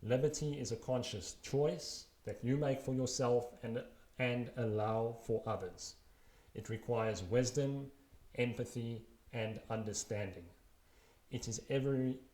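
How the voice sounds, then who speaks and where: Male, 30s, South African accent, reading a personal blog essay. Earnest, measured, wry; roomy, echoing recording. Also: medium pitch, warm tone. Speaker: blogger reading his own post aloud.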